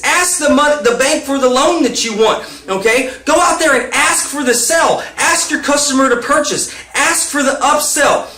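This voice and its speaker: Man, late 20s, very high, sharp voice; energetic motivational host, insistent, in an echoey room. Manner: upbeat, pushy, keeps asking "okay?". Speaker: business tips host. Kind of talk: motivational talk to camera.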